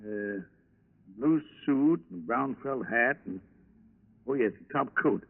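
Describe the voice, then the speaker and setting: An actor playing a halting, matter-of-fact clothing store clerk in a 1940s radio crime drama. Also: male voice, medium pitch, lively intonation, vocal fry, exaggerated voice. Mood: matter-of-fact, recalling. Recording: tinny, band-limited 1940s radio recording.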